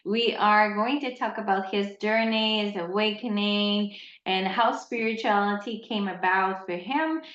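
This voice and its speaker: A woman hosts a podcast, chatting in a casual, effusive way. Her pitch is average. The room is echoey and the sound is dull, as over a video call. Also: a little slow. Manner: warm, effusive greetings. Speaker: podcast host. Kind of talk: casual interview talk.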